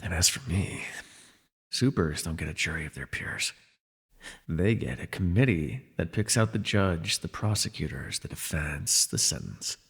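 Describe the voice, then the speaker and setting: Male actor as a captured telepath villain, very raspy, low-pitched, wry, theatrical, worldly mentor type, ASMR roleplay, long reverb. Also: expressive, unhurried, a bit bright, exaggerated voice. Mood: smug, needling. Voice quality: husky, gravelly low voice. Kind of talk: immersive ASMR roleplay voice acting. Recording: long reverb, faint music behind.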